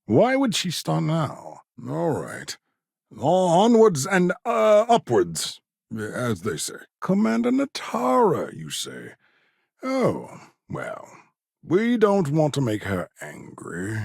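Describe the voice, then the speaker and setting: A man's voice: on the high side, huge pitch swings, slow, creaky, a little mellow, husky, dry recording, studio quality, heavily acted. An actor playing an eccentric, condescending but eager male scientist in a space video game, speaking in a fussy, wry, pedantic way. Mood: hesitant, puzzled. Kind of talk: video game character dialogue.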